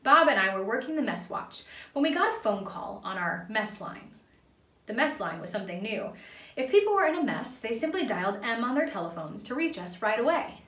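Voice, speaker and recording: A woman's voice: fairly high pitch, big pitch swings, fairly quick, fairly bright tone. A woman in her twenties reading a children's story aloud in a small room, away from the microphone. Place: small indoor playroom. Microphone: camera a few feet away. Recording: roomy, distant camera microphone.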